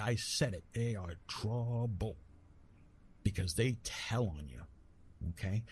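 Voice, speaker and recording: A man playing a retired god of love in an intimate ASMR roleplay, tender and teasing, speaking softly with a husky, breathy voice and halting, reassuring delivery. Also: on the low side, slight background noise, full character voice. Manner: gentle, reassuring, halting with pauses and self-corrections.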